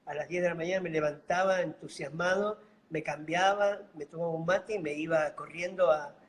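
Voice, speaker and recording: A middle-aged man from Buenos Aires, with an Argentine accent softened by a Bolivian lilt, telling his story in an unhurried, gentle way. His voice sits a little higher than most men's. It comes through a video call. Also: fairly even intonation, rough recording. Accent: Argentine Spanish, slightly Bolivian-tinged. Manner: unhurried, gentle, fond of diminutives. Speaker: guest, mime and clown actor. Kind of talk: casual interview storytelling.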